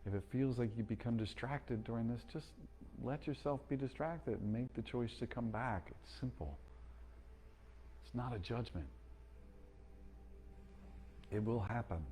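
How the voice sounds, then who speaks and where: A man guiding a slow breathing meditation, speaking calmly and gently with long pauses. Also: medium pitch, slight background noise. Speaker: meditation guide.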